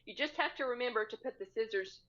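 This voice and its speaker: A woman's voice: a little high, fast, a bit bright. A woman chatting casually and agreeably as a guest on a muffled, noisy video call from home. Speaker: podcast guest. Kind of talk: casual chat about sewing favorites.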